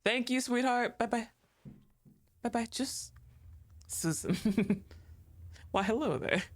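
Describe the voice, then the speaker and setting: A woman's voice: a little high, slow pace. A woman streamer giving casual, teasing commentary as she plays, chatting to the game and laughing often.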